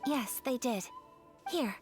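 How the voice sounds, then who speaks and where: A woman playing a polite, gentle young noblewoman in an anime video game, with formal and measured phrasing. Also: high-pitched, lively intonation. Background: faint game music.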